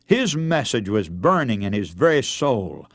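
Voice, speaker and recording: A man preaching a dramatic radio sermon in an emphatic, oratorical manner, with rhetorical repetition and deliberate pauses. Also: on the low side, very animated, fairly bright tone, no room sound. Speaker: radio preacher and broadcast host.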